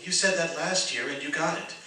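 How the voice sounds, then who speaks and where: Man playing Goodwill, an earnest visitor, echoey. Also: a little high. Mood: gently probing. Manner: earnest, measured, gently reproving. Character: calm, moralizing mysterious visitor embodying goodwill. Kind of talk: radio theatre acting. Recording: distant, echoey, noisy stage recording.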